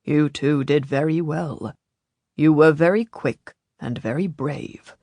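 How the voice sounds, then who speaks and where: A woman voicing a pious older churchman in an audiobook, grave, measured and gently stern. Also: low-pitched, dry recording, pitched below the performer's own voice, full character voice. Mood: solemn.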